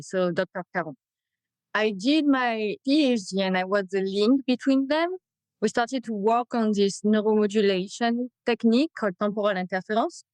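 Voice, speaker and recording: A woman's voice: mid-range voice, dry recording, poor audio. French-accented woman researcher. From France. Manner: plain, slightly halting answers with fillers. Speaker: guest researcher. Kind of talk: interview answers.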